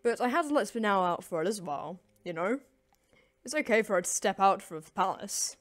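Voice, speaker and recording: A woman voicing a kind, apologetic teenage boy in a comic dub, speaking in an earnest, gentle, slightly hesitant way. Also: mid-range voice, expressive, a little fast, natural voice. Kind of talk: animated comic dub acting.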